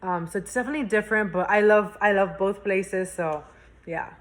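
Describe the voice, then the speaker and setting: A woman's voice: mid-range voice, steady tone, a little fast, a little hiss or hum. A young woman from Spain, a university student, chatting as an interview guest with lots of fillers and restarts. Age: young adult. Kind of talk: casual interview chat.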